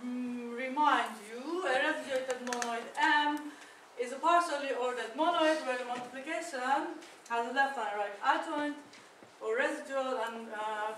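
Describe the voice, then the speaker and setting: A woman's voice: sits high, moderate pace, fairly bright tone. A woman whose first language is Persian gives a chatty, self-deprecating conference talk with many fillers and restarts. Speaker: academic speaker. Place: lecture room. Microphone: far camera. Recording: echoey lecture room from far off, noisy.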